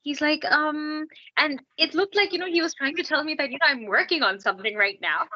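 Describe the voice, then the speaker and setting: A woman from Canada, a singer and podcast guest, telling an animated anecdote and laughing often. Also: high-pitched, moderate pace, dull audio, slight background noise. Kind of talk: casual anecdote in an interview.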